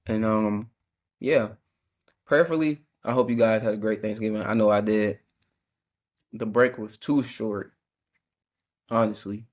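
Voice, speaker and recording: Congested early-20s male podcast host, low-ish voice, relaxed and rambling. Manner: laid-back, rambling, with frequent fillers and small laughs. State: congested, sucking a cough drop.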